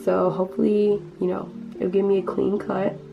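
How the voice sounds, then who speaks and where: A teenage girl with a hoarse voice from losing it, chatting casually through a makeup tutorial with many fillers and restarts. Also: mid-range voice, little pitch movement, moderate pace, lo-fi sound, over music. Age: teens. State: hoarse, lost voice. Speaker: beauty vlogger.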